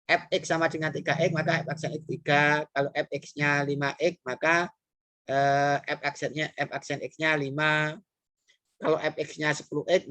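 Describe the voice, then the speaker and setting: A man's voice: fairly high pitch, fairly bright tone, no room sound. A man teaching a recorded math lesson with an East Javanese Indonesian accent. He explains step by step, addresses his students directly, hesitates often, and repeats key points.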